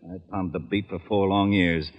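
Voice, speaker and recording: An actor playing an exasperated, blustering middle-aged New York homicide lieutenant who grumbles and sputters, in old radio detective drama style. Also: male voice, low-pitched, moderate pace, lo-fi sound. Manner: excitable, grumbling, sputters when flustered. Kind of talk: 1950s radio detective comedy-drama acting.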